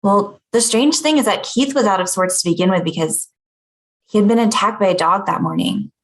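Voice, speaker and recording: Guarded, dry-witted female office worker, played by an actress, medium pitch, comedy read. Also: fairly quick, close to an everyday voice. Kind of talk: comedy script table read.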